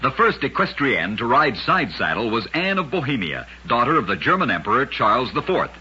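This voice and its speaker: A man's voice: medium pitch, big pitch swings, a little fast, bright, forceful tone, breathy edge. A man narrating scripted oddities as host of an old-time radio program, with a dramatic, emphatic storytelling delivery. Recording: muffled old radio recording.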